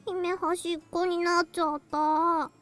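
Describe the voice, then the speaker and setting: Pouty, squeaky little anime girl. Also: level tone, normal speed, fairly bright tone. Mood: pouting. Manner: childish, pouty, talks of herself in third person. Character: clingy little girl with a princess-like self-regard. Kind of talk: anime visual novel acting.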